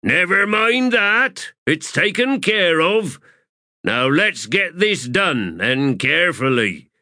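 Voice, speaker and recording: A man voicing a bossy, irritable army corporal in an audiobook, barking rough soldierly talk. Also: mid-range voice, very animated, very slow, sharp tone, dry recording, clean studio sound, voice pushed up, full character voice. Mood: commanding.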